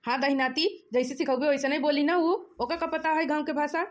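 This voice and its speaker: A woman plays an exasperated village mother from a comedy cartoon. Her voice is high, with a rustic eastern Uttar Pradesh accent. She scolds and threatens with a sharp tongue. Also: steady tone, a little fast, close to an everyday voice. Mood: scolding. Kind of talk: comic cartoon dialogue.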